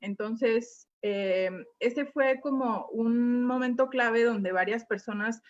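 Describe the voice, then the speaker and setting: A middle-aged woman from Mexico, a dive instructor, giving an online talk in a relaxed way with many fillers and pauses. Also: fairly high pitch. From Mexico, Quintana Roo. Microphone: video call. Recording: muffled video call audio.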